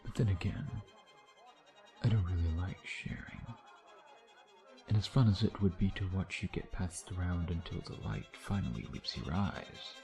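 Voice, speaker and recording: A man's voice: low-pitched, normal speed, over music. A man playing a suave, predatory vampire in an intimate ASMR roleplay, taunting in a sardonic, flirtatious way with murmured asides. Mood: playful menace. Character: suave, teasing, predatory male vampire, playfully menacing.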